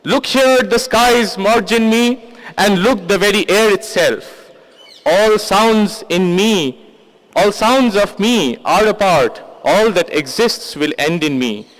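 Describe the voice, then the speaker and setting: A man performs a wrathful, all-encompassing god in a dramatic poetry recitation. The voice is high for a male and very deliberate, declaiming each phrase with solemn command. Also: a bit bright, rough recording. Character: wrathful male god revealing his vast cosmic form.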